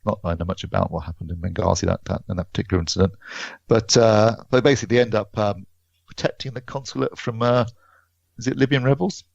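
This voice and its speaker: A man co-hosting a podcast, chatting casually and haltingly about films, thinking aloud as he goes. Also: on the low side, vocal fry, a little mellow. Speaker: podcast co-host and film reviewer. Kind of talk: casual film release chat. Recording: dull, roomy lo-fi podcast audio.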